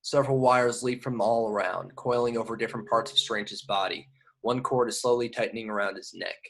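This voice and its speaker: A man's voice: mid-range voice, steady tone, a little fast, fairly bright tone. Male narrator, middle register, reading screenplay action lines for an amateur group table read. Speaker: narrator reading stage directions.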